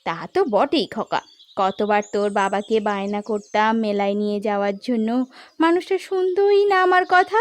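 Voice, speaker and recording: A woman's voice: medium pitch, animated, normal speed, no room sound, close to an everyday voice. A woman voicing a stern, proud older mother-in-law in a cartoon story, reproachful and emphatic. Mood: reflective, grumbling. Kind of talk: animated story character acting.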